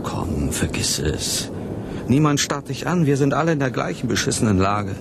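Tender, pleading man with animated delivery. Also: normal speed. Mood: soothing. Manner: soothing, pleading, partly breathy and hushed. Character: tender, urgently reassuring husband coaxing his frightened partner. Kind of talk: 1980s German science fiction radio play. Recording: loud rumbling noise behind.

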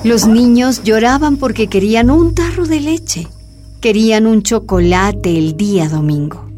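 A woman's voice: fairly high pitch, lively intonation, unhurried, music in the background. A woman narrator reading the scripted narration of a radio drama.

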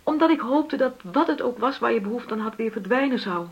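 An actress playing a hurt, argumentative middle-aged wife in a Dutch radio play, with a pointed, insistent way of questioning. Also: female voice, a little high, animated, brisk, slightly rough, lo-fi sound. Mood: indignant.

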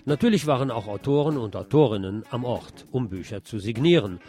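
A man's voice: mid-range voice, a little fast, warm tone, faint music behind. A man hosting a radio magazine, reading scripted news reports in a presenter's role. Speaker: radio host and presenter, also an author.

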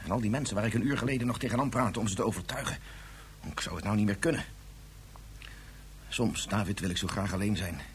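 An actor in a radio drama playing an ashamed, quick-tempered father and scientist, speaking with intense self-reproach. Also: fairly low pitch, a little hiss or hum, lightly acted. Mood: guilty. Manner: intense, self-reproaching, halting when moved.

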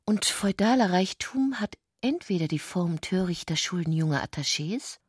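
A woman's voice: fairly low pitch, animated, moderate pace, slightly rough, no echo, studio quality. A woman reading literary prose as the narrator of a radio drama, in a scripted literary style.

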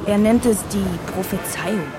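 A woman's voice: medium pitch, moderate pace, noisy background, natural voice. An actress playing a cheeky young woman, slangy and scornful, in casual radio drama dialogue. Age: young adult. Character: Megan, cheeky young woman mocking conspiracy talk. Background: music.

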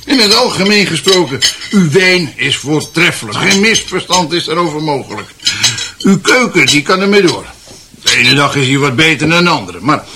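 High-pitched, pompous, tipsy man grumbling. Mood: pompous complaining. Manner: pompous, ranting, repeats himself. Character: tipsy, blunt, pompous lodger grumbling at the dinner table. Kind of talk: Dutch radio drama acting. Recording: noisy, clattering cutlery and dishes.

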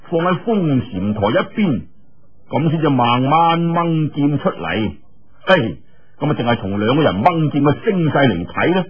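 Husky, animated Cantonese male storyteller. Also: a little high, highs cut off. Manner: theatrical, colloquial, with exclamations and asides. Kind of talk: traditional Cantonese martial-arts storytelling.

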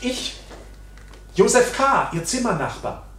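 A man's voice: very high voice, big pitch swings, takes it slowly, a bit bright, a little hiss or hum, heavily acted. An actor in a German radio play as an anxious, intense man under arrest, speaking formally and hesitantly with bursts of agitation. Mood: urgent.

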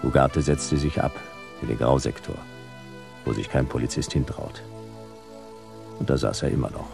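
An actor playing a hard-boiled detective narrating his case in a noir radio play, gravelly, in terse, clipped phrases. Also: normal speed. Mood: grim. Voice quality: very deep, gravelly. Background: music.

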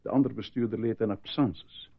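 An actor playing a calm, formal male doctor at a military hospital, soothing and measured, in a radio drama. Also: on the low side, gravelly, husky, highs cut off. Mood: explaining gently.

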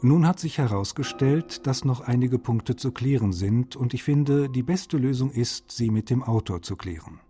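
An actor playing a courteous, meticulous male translator, reading formal letters aloud in a precise, polite, slightly husky voice for a radio feature. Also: mid-range voice, lively intonation, normal speed, few pauses, warm tone, faint music behind, close to an everyday voice.

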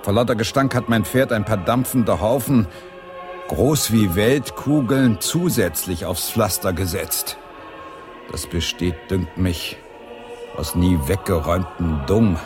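An actor in a German radio drama playing a sardonic, earthy English delegate with a droll, theatrical way of talking. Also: male voice, on the low side, measured pace, over music, lightly acted. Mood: disgusted. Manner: droll, theatrical, relishing long words.

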